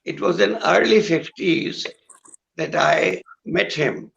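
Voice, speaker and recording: An older man with a hoarse voice, a guest musician talking in a slow, halting way with many pauses over a video call. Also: on the high side, a bit bright, highs cut off, a little hiss or hum. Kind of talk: recalled conversation with singing.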